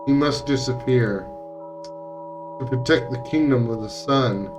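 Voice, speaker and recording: A man's voice: medium pitch, unhurried, music in the background, natural voice. A man voicing menacing hive-minded puppets in a visual novel, insistent and accusing, with chant-like repetition. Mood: cold, resolute.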